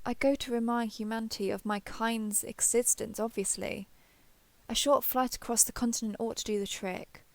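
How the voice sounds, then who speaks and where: Woman playing a proud, creaky-voiced ancient female dragon. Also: fairly high pitch, steady tone, moderate pace, slight background noise. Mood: resolute. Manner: formal, archaic, haughty phrasing. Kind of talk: scripted fantasy roleplay audio.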